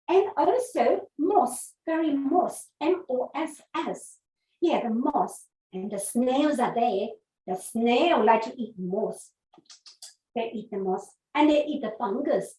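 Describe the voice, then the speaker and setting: High-pitched woman teaching young children, echoey room. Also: warm tone. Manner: asks simple questions and answers them herself. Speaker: early learning teacher. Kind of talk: interactive nature lesson for young children. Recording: echoey room, distant from mic.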